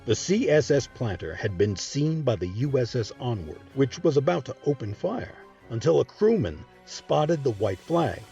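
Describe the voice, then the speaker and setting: A man's voice: medium pitch, sing-song, normal speed, vocal fry, hardly any pauses, warm tone, dull audio. A man narrating history in a scripted documentary style. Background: background music.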